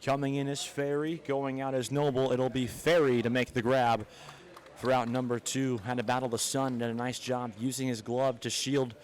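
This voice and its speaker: A man with an American accent doing live play-by-play commentary of baseball and softball as an announcer. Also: medium pitch, flat delivery, normal speed.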